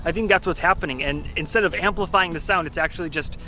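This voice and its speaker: A man's voice: on the high side, fast talker, bright, forceful tone. A man presenting a home experiment in casual, explanatory vlog narration. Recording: music behind the voice.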